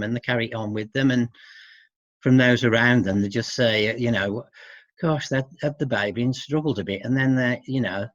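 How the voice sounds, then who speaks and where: A middle-aged man speaking as an expert guest in an informal interview, hesitant and halting, often seeking agreement with tag questions. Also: medium pitch, fairly bright tone, dry recording. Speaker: expert guest who works with men. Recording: dull, muffled lo-fi audio.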